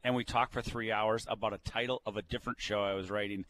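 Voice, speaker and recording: A man's voice: lowish voice, fairly even intonation, quick pace, fairly bright tone, a little hiss or hum, rough recording. A man chatting casually as a radio guest, with a wry, bantering way of talking. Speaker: guest, playwright and drama teacher.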